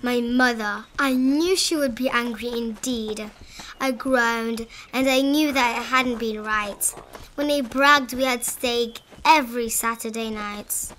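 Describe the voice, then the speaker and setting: A woman reading a children's storybook aloud in an expressive, dramatizing manner. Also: fairly high pitch, takes it slowly, rough recording. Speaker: read-aloud narrator of children's picture books.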